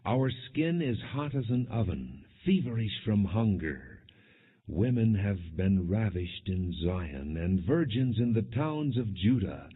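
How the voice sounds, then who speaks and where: A man reading scripture aloud as a solemn audiobook narration, with measured, deliberate phrasing. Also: fairly low pitch, very animated, husky. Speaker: audiobook Bible reader. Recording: muffled, phone-like narrow-band audio.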